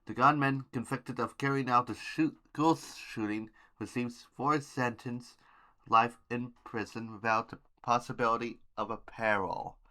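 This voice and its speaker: A man with a bright, forceful voice at a middling pitch hosts a homemade news podcast. He reads headlines haltingly, trips over words and names, and slips in informal asides. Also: steady tone, poor audio.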